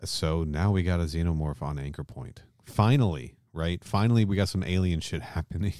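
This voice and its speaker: A man with an extremely low voice hosts a casual podcast. He recaps a story in loose, slangy speech, often seeking agreement. The sound is close and dry. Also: brisk, darkish tone, no echo. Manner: colloquial, mildly sweary, often seeking agreement. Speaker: podcast host.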